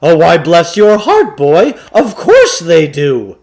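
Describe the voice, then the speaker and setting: A man's voice: on the high side, huge pitch swings, slow pace, darkish tone, pitched above the performer's own voice, exaggerated voice. A man voicing a chatty, folksy shopkeeper huckster in a storybook reading, with eager, exclamatory sales patter. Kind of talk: children's storybook character voice.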